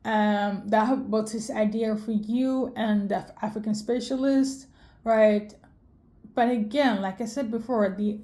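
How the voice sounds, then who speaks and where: Mellow-voiced woman rambling through a reading. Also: on the high side. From Sweden. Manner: rambling, many fillers and self-corrections. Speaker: tarot reader. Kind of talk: casual spiritual reading.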